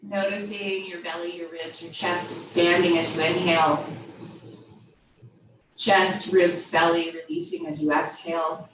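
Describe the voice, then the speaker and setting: A woman teaching yoga, guiding a body scan with gentle, invitational step-by-step cues. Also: lowish voice, little pitch movement, unhurried. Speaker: yoga instructor. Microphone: distant microphone. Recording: very echoey room, distant mic, faint noise.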